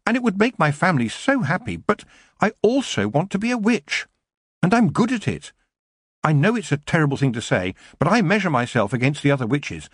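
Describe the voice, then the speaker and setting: A man's voice: a little high, husky, no room sound, studio quality, pitched above the performer's own voice, heavily acted. A man voicing a determined young woman witch in an audiobook, speaking earnestly and frankly. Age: young adult. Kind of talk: audiobook character voice.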